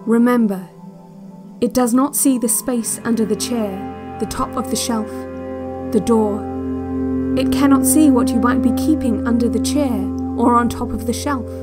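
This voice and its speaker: High-voiced, hypnotic relaxation tape instructor played by a woman. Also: natural voice. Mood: hypnotic, quietly insistent. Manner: measured, deliberate, hypnotic, clinical. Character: calm, controlling female relaxation cassette instructor at an institute. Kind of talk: slow guided-meditation narration in audio drama. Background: new-age music.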